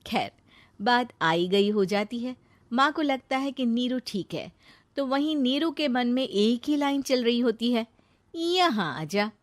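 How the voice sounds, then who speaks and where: A woman tells a moral story in a brisk, plain storytelling voice that sits a little high. The recording is close and dry, with no room sound. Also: dry recording. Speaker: narrator. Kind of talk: scripted storytelling narration.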